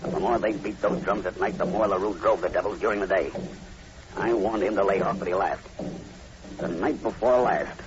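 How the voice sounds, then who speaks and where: An actor playing a grim, superstitious plantation overseer with ominous, emphatic delivery in 1940s radio horror style. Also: male voice, low voice, moderate pace, natural voice. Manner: ominous, emphatic, dramatic storytelling. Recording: muffled, noisy old radio recording.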